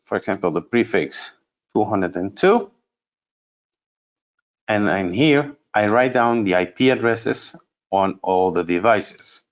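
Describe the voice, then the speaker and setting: A man giving an unscripted software demonstration as a trainer, explaining step by step and thinking aloud in a halting way. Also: on the low side, lo-fi sound. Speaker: trainer presenting a product course.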